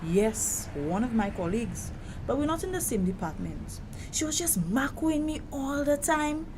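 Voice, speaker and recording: A proud, wounded young Caribbean woman played by an actress in an audio drama. Her conversational delivery is emphatic and indignant, with a very lively pitch, over noticeable background noise. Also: normal speed. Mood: exasperated.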